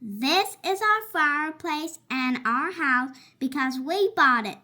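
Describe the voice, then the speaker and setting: A polite little boy from a storybook, speaking high, bright and fairly quiet. A woman reading aloud puts him on. His pitch bounces up and down. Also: expressive, slow, exaggerated voice.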